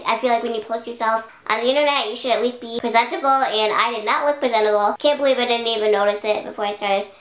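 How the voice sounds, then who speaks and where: A young woman talks straight to her camera in a loose, rambling style, leaning on "like" as filler. Her voice sits a bit high and sounds a little hoarse. The room around her rings with noticeable echo. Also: steady tone, fast, few pauses. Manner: chatty, rambling, many "like" fillers. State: slightly hoarse. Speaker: YouTube vlogger. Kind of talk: casual talk to camera.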